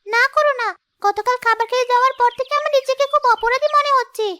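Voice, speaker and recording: A woman's voice: extremely high pitch, quick pace, bright, forceful tone. A woman voicing an earnest young schoolgirl in a cartoon story, with an emotive, scripted delivery. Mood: earnest, pleading. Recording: music behind the voice.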